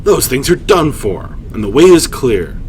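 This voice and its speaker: Man acting hearty storybook warrior woman, roaring, blunt, loud, noisy home stream. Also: medium pitch, very animated, measured pace, voice pushed up. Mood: hearty approval.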